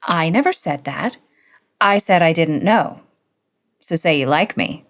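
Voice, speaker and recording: A cocky young man with a sarcastic, needling edge, as a woman reading a story puts him on. His voice leaps and dips as he complains and teases, unhurried. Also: sits low, expressive. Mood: coaxing.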